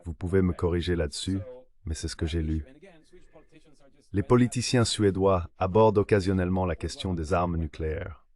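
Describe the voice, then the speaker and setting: A man's synthetic voice reading translated political discussion as a measured voice-over. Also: low-pitched, darkish tone. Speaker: voice-over translator of interview guests. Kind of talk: dubbed political discussion, measured read delivery.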